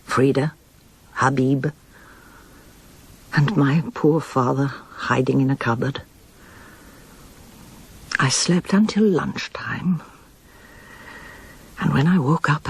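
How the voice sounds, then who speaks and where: A woman's voice: low voice, slightly rough, heavily acted. An actress playing an older mother, bewildered and reflective, speaking in a hesitant, musing way in a naturalistic radio drama. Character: older mother, bewildered, reflective, anxious, remembering her past.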